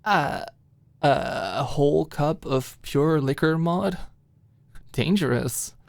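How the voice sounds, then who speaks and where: A woman's voice: sits low, slow, gravelly, a little mellow, no room sound, exaggerated voice. A woman voicing a wry, teasing young woman with a sarcastic manner in an audiobook. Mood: amused. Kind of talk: audiobook character dialogue.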